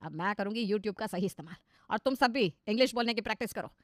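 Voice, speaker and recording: A woman's voice: medium pitch, lively intonation, fast, dry recording, clean studio sound, natural voice. A woman voicing a fretful middle-aged mother who turns showily modern in a cartoon story, chatty and emphatic. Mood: determined.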